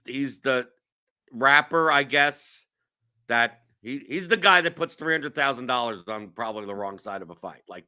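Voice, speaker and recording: A man's voice: medium pitch, bright, forceful tone. A man in his forties from New York, hosting a casual show in a rambling, self-mocking way with lots of restarts. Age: 40s. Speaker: show host. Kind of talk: casual chat.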